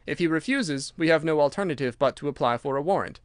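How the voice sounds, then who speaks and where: A man reading a calm, assured detective. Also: on the high side, no room sound, studio quality, natural voice. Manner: measured, assured. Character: calm, precise male detective. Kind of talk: audiobook character reading.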